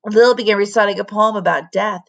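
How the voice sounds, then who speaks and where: A woman, a teacher, reading a children's story aloud with dramatic, deliberate expression. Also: medium pitch, vocal fry, a bit bright.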